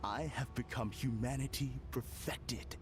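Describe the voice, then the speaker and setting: An actor playing a calm, formal, smugly polite villain in an anime video game, with theatrical delivery. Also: male voice, mid-range voice, measured pace, music in the background, natural voice. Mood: serenely fervent. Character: calm, polite, smug male villain claiming perfected happiness.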